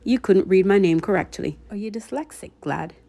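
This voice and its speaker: Sly British underworld ferryman played by a woman, smooth, greedy, condescending, audiobook character work. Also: mid-range voice, expressive, normal speed, warm tone. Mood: menacing. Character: suave, sly, greedy male ferryman of the dead.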